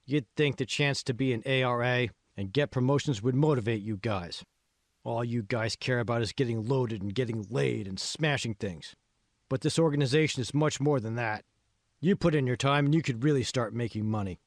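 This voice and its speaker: A man's voice: mid-range voice, moderate pace, no room sound, studio quality, lightly acted. A man voicing a bossy, irritable foreman in an audiobook, coarse and impatient, haranguing his crew. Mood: grumbling, scornful. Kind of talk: audiobook character dialogue.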